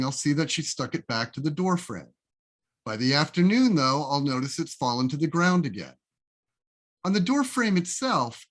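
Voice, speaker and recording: A man reading his own essay aloud as a literary reading, with a deliberate, wry, expressive storytelling delivery, recorded over a video call. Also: on the high side. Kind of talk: literary reading, scripted first-person narration.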